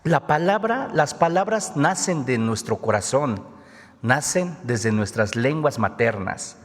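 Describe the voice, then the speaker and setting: A man's voice: mid-range voice, animated, takes it slowly. Guy giving a solemn speech at a press conference, big hall echo. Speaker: government spokesman presenting a segment. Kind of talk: formal public address. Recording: long hall reverb.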